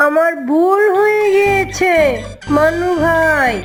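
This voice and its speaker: A woman's voice: very high voice, slow pace, faint music behind. A woman voicing a playful, teasing giant sweetheart in a cartoon, coy and sing-song.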